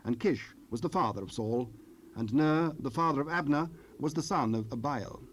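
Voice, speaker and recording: Casting: male scripture narrator, mid-range, solemn and measured, old cassette noise with ambient effects behind. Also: medium pitch. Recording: noisy cassette rip, ambient sound.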